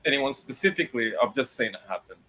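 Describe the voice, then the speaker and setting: A man from Sydney with a crisp Australian accent, chatting casually as host of a livestream. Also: medium pitch, animated, fast, sharp tone, low-quality recording. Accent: Sydney Australian, crisp enunciated. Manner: chatty, self-correcting, enunciates clearly. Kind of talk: casual live chat.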